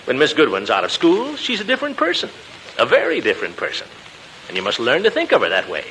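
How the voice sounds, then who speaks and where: An actor playing a pompous, fond, flustered middle-aged uncle in a blustery, hesitant 1940s radio comedy style. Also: male voice, on the high side, huge pitch swings, fast, pushed and bright, heavily acted. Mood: fond, fatherly. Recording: noisy 1940s radio recording.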